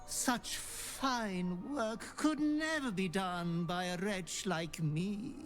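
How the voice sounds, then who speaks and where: A man's voice: sits high, very slow. A man playing a sinister, devoted little fiend butler in a video game, obsequious, florid and theatrical. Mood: gleefully servile. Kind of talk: video game character acting. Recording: game audio with music underneath.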